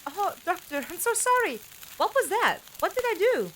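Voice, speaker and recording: A woman acting in a fan audio drama as a bewildered, earnest, quick-witted companion who questions everything. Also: very high-pitched, sing-song, a bit bright, slight background noise, full character voice. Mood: alarmed, sorry.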